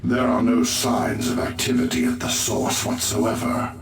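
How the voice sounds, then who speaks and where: An actor playing a solemn, mystical elf-like alien seer in a video game, formal and portentous. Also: male voice, on the low side, little pitch movement, a little slow, close to an everyday voice. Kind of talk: video game cutscene acting. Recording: echoey, distant, music behind.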